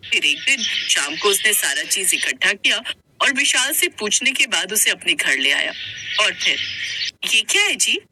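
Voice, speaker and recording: A woman's voice: mid-range voice, sing-song, normal speed, bright, forceful tone, husky. A woman narrator reading a scripted moral story in Hindi.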